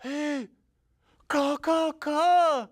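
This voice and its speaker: A woman voicing a big male papa crow in a storybook tale, lovestruck and eager, with loud, emphatic caws. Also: sits high, very slow, pitched above the performer's own voice. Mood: anxious pleading. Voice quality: loud cawing bird calls. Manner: emphatic, exclaiming, questioning.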